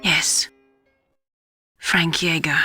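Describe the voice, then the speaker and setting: An actress playing a lonely, sorrowful woman scientist in a video game, confessing bitter secrets in a measured, halting way. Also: sits low, steady tone, bright, forceful tone, breathy edge, full character voice. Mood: bitter, grieving. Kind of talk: dramatic video game voice acting. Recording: prominent music under the voice. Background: music.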